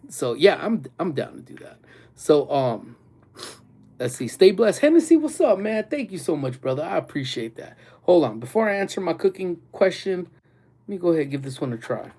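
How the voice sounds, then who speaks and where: A man's voice: a little high, darkish tone, breathy edge. Congested, rambling male streamer. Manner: rambling, loose talk with many fillers and frequent laughs. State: a little congested and nasal. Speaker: livestream host. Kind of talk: casual livestream chat with viewers.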